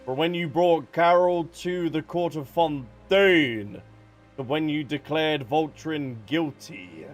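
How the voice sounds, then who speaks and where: High-pitched male game streamer, playful. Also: slow. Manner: playful, joking. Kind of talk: casual game commentary and reading dialogue aloud. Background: game music.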